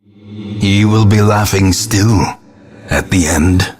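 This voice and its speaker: An actor voicing a grim, guilt-ridden old ancestor who narrates a gothic horror game in solemn, ornate, theatrical phrases with a husky voice. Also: male voice, deep voice, darkish tone, soft background music. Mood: solemn, foreboding. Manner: solemn, ornate, deliberate dramatic delivery.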